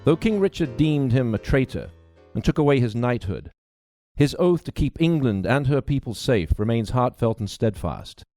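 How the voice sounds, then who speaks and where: A man's voice: mid-range voice, big pitch swings, normal speed, dark tone, dry recording, soft background music. A man reading a mystery novel aloud as a dramatic, scripted narration. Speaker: audiobook narrator, actor.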